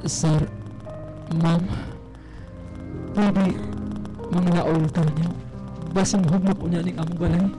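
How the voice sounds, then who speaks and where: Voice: medium pitch, flat delivery, slow, pauses often, over music, natural voice, poor audio. An actor playing a humble, deferential young Cebuano sweetheart in a radio drama. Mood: humble, welcoming. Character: humble, startled young sweetheart, eager to please the parents.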